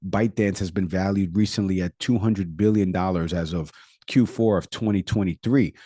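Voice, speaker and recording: A man's voice: sits low, dark tone, dry recording, clean studio sound. A man with a Puerto Rican accent co-hosting a podcast, talking casually and rattling off statistics with frequent fillers. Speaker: podcast co-host; product manager.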